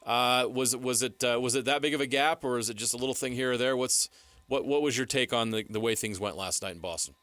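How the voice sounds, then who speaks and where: A man's voice: medium pitch, brisk, a bit bright, no room sound. A man co-hosting a sports radio show, chatting casually and putting questions to a guest. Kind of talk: casual sports talk, interviewing.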